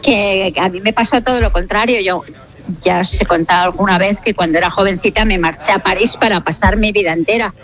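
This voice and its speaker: A woman on a radio show telling a personal story in an expressive way. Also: medium pitch, a little fast. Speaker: radio contributor.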